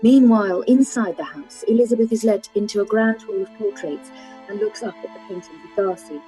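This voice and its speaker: Calm woman reading stage directions. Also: mid-range voice, brisk, soft background music, poor audio. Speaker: narrator.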